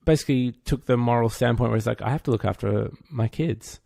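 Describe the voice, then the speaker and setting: Male co-host, gravelly mid-range voice, casual and drily teasing. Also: medium pitch, fast, mellow, no room sound, clean studio sound. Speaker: podcast co-host. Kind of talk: casual banter.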